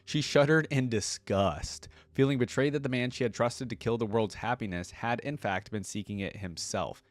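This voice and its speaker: A man hosting a reaction channel, casually commenting and reading aloud, thinking out loud with informal asides. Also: medium pitch, normal speed, no echo.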